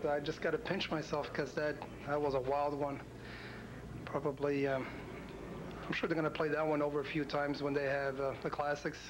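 A man speaks at a press conference in a flat, halting way, pausing and restarting as he reflects. A room noise hangs behind him. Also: on the high side. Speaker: head coach.

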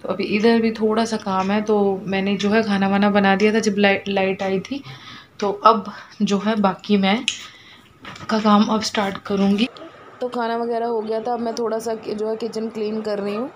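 A woman in her thirties with a cold and a hoarse voice, chatting casually through a home kitchen vlog, filmed on a phone. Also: mid-range voice, little pitch movement, moderate pace. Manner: rambling, chatty, lots of fillers. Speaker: vlogger and housewife. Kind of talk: casual daily vlog chat. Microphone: phone camera, selfie then propped up across the room. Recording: noisy phone recording, pump motor running.

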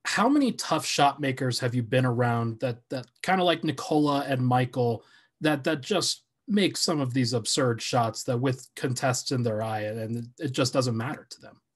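A man's voice: medium pitch, normal speed, breathy edge. A man asking a thoughtful, long-winded question as a reporter. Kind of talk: press conference question.

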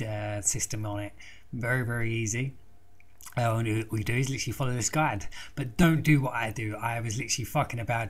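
Croaky, foul-mouthed gamer man. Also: medium pitch. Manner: swears constantly, rambling and chatty. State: croaky from a throat infection. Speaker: gaming video host. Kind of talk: casual profane game walkthrough commentary.

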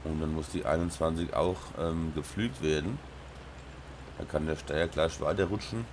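A man with a Rhine-Hessian German accent, hoarse from a sore throat and blocked nose, casually commenting on his farming game and mumbling with many ums. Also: very deep voice, normal speed, background noise. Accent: Rhine-Hessian German dialect. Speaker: let's play host.

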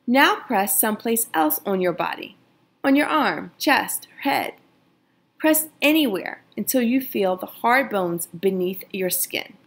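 A woman teacher reading a picture book aloud to children, gently addressing her young listeners and asking them questions. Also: a little slow. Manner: expressive, lively pitch swings on questions.

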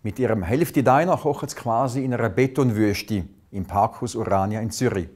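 A man with a Swiss German accent presenting a magazine segment from a TV studio, speaking in a measured, announcing way. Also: medium pitch, darkish tone.

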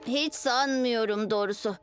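A woman's voice: on the high side, measured pace, clean tone, soft background music, natural voice. A woman playing a proud, self-conscious young superhero boy in a dubbed children's cartoon, earnest and a little defensive. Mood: reluctant, embarrassed. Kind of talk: animated children's cartoon dubbing.

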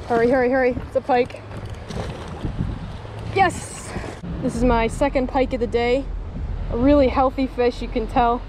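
Excitable young woman fishing outdoors. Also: a little high, normal speed, pauses often. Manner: excitable, gushing exclamations. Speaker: fishing vlogger. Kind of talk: excited live commentary while fishing. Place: outdoors by a creek. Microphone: action camera. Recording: outdoor camera mic with water noise. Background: flowing water.

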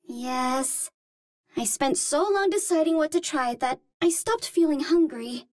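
A woman's voice: high-pitched, measured pace, darkish tone, no echo, clean studio sound. An actress playing a cute, naive young Martian space healer, speaking in a sweet, girlish, precise and formal way. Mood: sheepish.

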